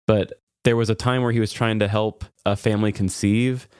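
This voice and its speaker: A man's voice: fairly low pitch, steady tone, normal speed, a little mellow, smooth, no echo, studio quality. A man co-hosting a podcast, telling funny stories in a casual, conversational way. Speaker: podcast co-host. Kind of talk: casual comedic storytelling chat.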